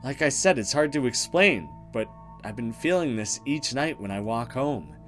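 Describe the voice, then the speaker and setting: A man's voice: medium pitch, expressive, moderate pace, fairly bright tone, lightly acted. A man reads the lines of an anxious young woman in a horror visual novel. She is easily scared and speaks earnestly to her friend with an uneasy edge. Music sits behind the voice. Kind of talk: visual novel dialogue read aloud.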